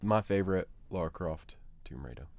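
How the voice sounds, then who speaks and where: Man, low voice, dry close recording, casual debate chat, informal podcast co-host. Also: expressive, moderate pace, gravelly, darkish tone, dry recording. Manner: laughs often, informal, swears.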